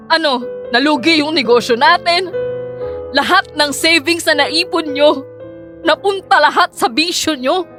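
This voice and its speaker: A woman's voice: high-pitched, pushed and bright, heavily acted. A woman acting a hardworking, weary student daughter in a Filipino radio drama, earnest and emotional, pleading with a Filipino accent. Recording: music bed under the voice.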